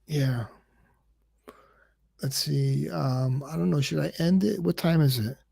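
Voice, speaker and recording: A man's voice: on the high side, slow, vocal fry, dark tone, slightly rough. A man hosting a casual livestream, rambling and repeating words, and he says he stutters. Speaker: livestream host.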